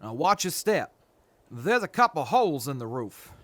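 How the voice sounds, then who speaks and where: A man's voice: on the high side, sing-song, normal speed, fairly bright tone, dry recording. A man playing a helpful, cautious survivor in an audio drama. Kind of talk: post-apocalyptic audio drama acting.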